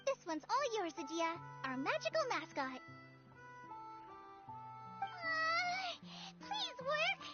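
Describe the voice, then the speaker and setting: A woman voicing a small, cheerful, childlike fairy companion in an anime game, excitable and wide-eyed. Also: extremely high pitch, slow pace, pauses often, exaggerated voice. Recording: dull audio with music underneath.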